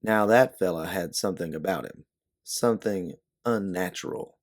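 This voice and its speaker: A man's voice: low voice, moderate pace, natural voice. A man voicing a blunt, watchful, folksy doorman in a story, talking plainly and protectively. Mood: ominous, warning. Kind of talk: second-person storybook narration, character dialogue.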